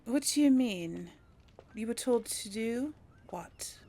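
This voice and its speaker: A woman's voice: on the high side, animated, slow pace, dark tone. A woman doing casual game commentary and role-playing dialogue choices, musing aloud with little hums. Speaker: gamer narrating a role play series.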